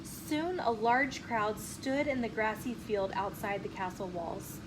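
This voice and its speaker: A woman reading a children's picture book aloud in an expressive, deliberate storytelling manner. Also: medium pitch. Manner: animated, deliberate storytelling with acted dialogue. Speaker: children's story reader and channel host. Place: inside a bookstore. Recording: clearly noisy room with ambient sound.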